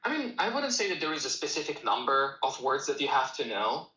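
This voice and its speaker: A man whose first language is Russian, teaching English in a live-stream Q&A. He talks straight to his viewers in a relaxed, encouraging way, with a bright, forward tone. The room around him sounds echoey. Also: mid-range voice, fairly quick, highs cut off.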